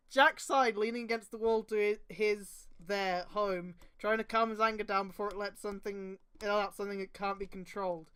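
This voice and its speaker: A woman's voice: mid-range voice, clear, resonant tone. A woman streamer reading fan fiction aloud, with casual, profane, exasperated asides and a mocking dramatic delivery. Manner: exasperated outbursts, often breaking into laughter and shouting.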